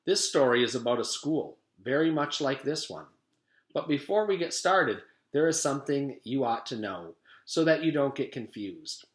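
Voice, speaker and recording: A man's voice: mid-range voice, expressive, moderate pace, natural voice. A man voicing a jolly mustached yard teacher telling a story to a class. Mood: storytelling. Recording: echoey room.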